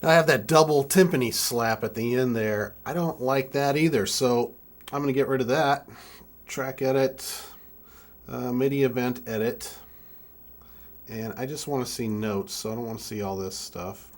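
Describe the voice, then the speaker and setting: A young adult man giving an informal hands-on tutorial, speaking haltingly as he thinks aloud while working. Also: medium pitch. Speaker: music keyboard tutorial presenter. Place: indoor room with draped backdrop.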